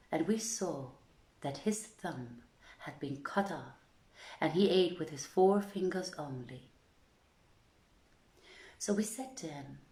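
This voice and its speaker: A woman narrates an archaic tale in a roomy, echoing space. Her voice sits a little low and she takes her time, phrasing each part in a deliberate, theatrical way. It is scripted storytelling, read with measured drama. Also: darkish tone. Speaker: storyteller, reader.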